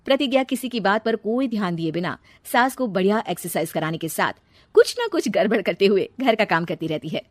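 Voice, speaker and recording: A woman reads a scripted family tale as its storyteller. Her voice sits at a middle pitch and is recorded very dry, with no room around it. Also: expressive, fairly quick, no room sound. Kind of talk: scripted narration of Hindi family moral stories.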